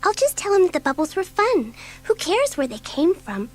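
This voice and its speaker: A woman voicing a restless, outspoken schoolgirl in a dramatised children's audiobook, youthful and expressive. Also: very high-pitched, normal speed, voice pushed up. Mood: defiant.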